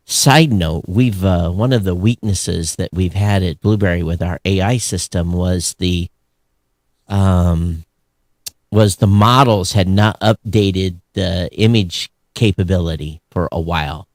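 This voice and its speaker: A man's voice: sits low, a little slow, no room sound, clean studio sound. An American man chatting casually and unscripted as a podcast co-host, in a rambling, conversational way. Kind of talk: casual unscripted show chat.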